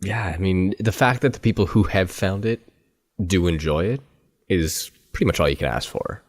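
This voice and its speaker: A man's voice: low-pitched, normal speed. A man hosting an interview podcast, giving many short affirmations and chatting casually with his guest. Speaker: podcast host.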